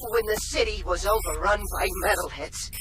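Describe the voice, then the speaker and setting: A man's voice: sits high, normal speed, over loud music, close to an everyday voice. A man voicing a paranoid, eccentric technician turned digital ghost in a video game, with a manic, twitchy, theatrical delivery. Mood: jittery. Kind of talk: animated video game cutscene acting. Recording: loud noise and ambience behind.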